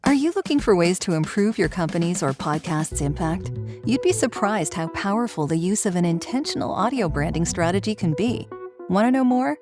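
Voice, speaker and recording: A woman podcast host reading a scripted promotional message in a polished, measured way. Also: lowish voice, animated, music in the background.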